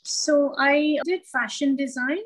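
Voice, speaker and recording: A woman's voice: sits high, steady tone, slow. A middle-aged woman, from Sri Lanka and India, answering questions conversationally as an interview guest.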